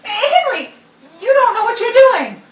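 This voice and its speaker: A woman's voice: very high-pitched, big pitch swings, a little slow, full character voice. A woman acting a dramatic, bantering character with exclamatory delivery in a stage comedy. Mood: mock dramatic. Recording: echoey, distant stage recording with faint noise.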